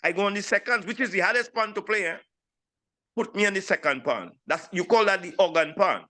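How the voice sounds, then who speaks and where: High, bright-voiced St. Lucian man in his sixties. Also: fairly quick. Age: 60s. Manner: animated, repeats phrases for emphasis. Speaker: interview guest, steel pan player. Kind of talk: casual personal storytelling.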